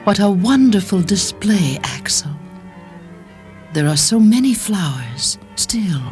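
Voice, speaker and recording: Genteel countess for a radio story, wistful and gentle, really lilting, slow, soft music behind. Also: female voice, medium pitch, very animated. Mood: gently admiring. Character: countess, genteel wife speaking gently to her husband. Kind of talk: dramatic reading, character voice.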